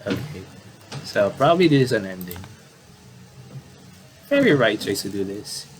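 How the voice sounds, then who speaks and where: Male game commentator, lowish voice, casual and halting, reads text aloud and rambles, slightly noisy home recording. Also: sing-song. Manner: rambling, self-correcting, halting. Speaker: gaming video commentator.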